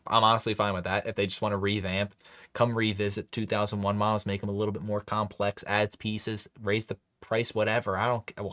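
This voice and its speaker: A man hosting a casual live stream, chatting loosely with many fillers and restarts while reading chat. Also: fairly low pitch. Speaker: livestream host.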